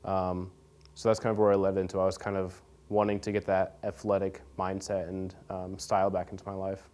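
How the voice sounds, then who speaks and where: Laid-back young man, smooth low voice. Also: fairly quick. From Nebraska, USA. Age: young adult. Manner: relaxed, rambling, many fillers and restarts. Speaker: interview guest. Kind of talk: casual interview answers. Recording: radio studio interview.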